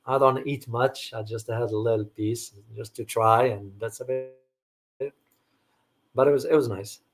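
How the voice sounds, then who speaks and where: A middle-aged man, raised in Morocco with Arabic as a first language, hosting a casual livestream chat and answering viewers with rambling restarts. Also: mid-range voice. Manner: rambling, frequent restarts and fillers, thanks viewers by name. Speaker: host answering viewer questions.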